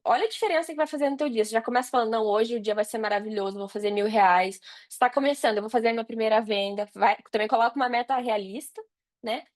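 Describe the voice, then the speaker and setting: A woman's voice: fairly high pitch, racing. A woman with a Brazilian Portuguese accent, a podcast guest giving casual advice in an informal, anecdotal way.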